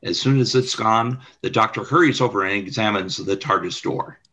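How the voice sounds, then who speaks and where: Narrator for audio drama: man, slightly low, raspy edge, bright forceful delivery of scripted action. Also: moderate pace, lo-fi sound. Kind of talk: scripted radio play narration.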